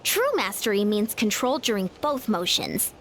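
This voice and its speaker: A woman voicing a calm female coach in a video game, speaking in a measured, instructive way. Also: medium pitch, a little hiss or hum. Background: soft game music.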